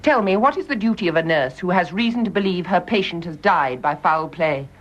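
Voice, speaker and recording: Stubborn grave nurse, British accent, clipped formal delivery, very animated intonation, radio drama, muffled sound. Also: female voice, on the low side, brisk. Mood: resolute, formal. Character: grave, stubborn, wounded nurse making an accusation.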